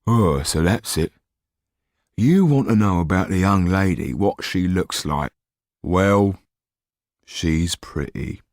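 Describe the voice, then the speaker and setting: A man's voice: deep voice, lively intonation, slow, long pauses, a little mellow, no room sound, clean studio sound. Man doing a grumbling, greedy informant lad. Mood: leering. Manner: growling, grumbling, colloquial. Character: big-headed, greedy, grumbling young man, a lowly informant.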